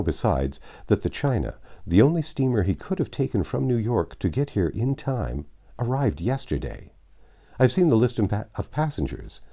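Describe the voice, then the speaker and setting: A man voicing a nervous, insistent gentleman engineer in a storybook, speaking formally and emphatically. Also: on the low side, pitched above the performer's own voice.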